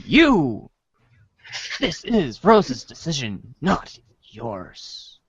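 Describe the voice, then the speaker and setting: Male narrator, theatrical storybook read: unhurried, very varied intonation, dry lo-fi sound. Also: fairly high pitch, wildly swooping pitch, slightly rough, no room sound. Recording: dry, dull lo-fi audio.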